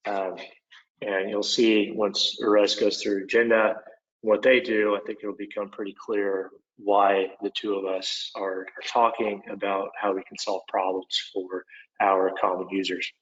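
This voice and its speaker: A man with an Oklahoma accent, a product manager presenting a webinar in a relaxed, conversational way with frequent fillers. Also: lowish voice, measured pace. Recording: dull lo-fi webinar audio.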